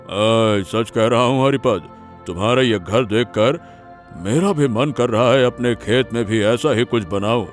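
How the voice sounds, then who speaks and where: A man's voice: mid-range voice, unhurried, soft background music, close to an everyday voice. A man voicing a genial, easily delighted old village grandfather in a cartoon story, hearty and given to repeated exclamations. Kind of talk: Hindi cartoon story dialogue.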